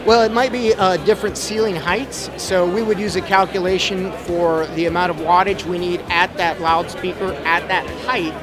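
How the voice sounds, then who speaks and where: A middle-aged man giving a casual, step-by-step technical explanation as an expert guest in an interview. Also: high-pitched. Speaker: expert guest, audio trainer. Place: busy trade show floor. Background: music.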